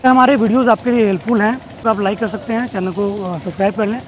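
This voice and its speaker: A man, explaining things as a travel guide to camera, with pauses and English words mixed in. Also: sits high, fairly even intonation. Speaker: tour company host and guide. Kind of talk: informational travel vlog to camera. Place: outdoors on open riverside steps. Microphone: clip-on wireless lapel mic. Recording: noisy outdoor ambience, distant engines.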